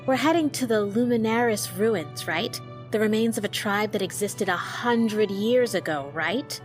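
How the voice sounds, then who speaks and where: A woman's voice: medium pitch, unhurried, faint music behind, close to an everyday voice. A woman playing a brash, restless young friend in an audio drama, slangy, blunt and playfully dramatic. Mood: casual, prodding.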